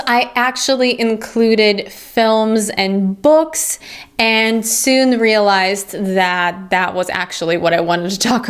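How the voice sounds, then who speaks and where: A young woman from Ukraine whose first language is Russian, chatting to viewers as a YouTube host in a Q&A vlog. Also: medium pitch.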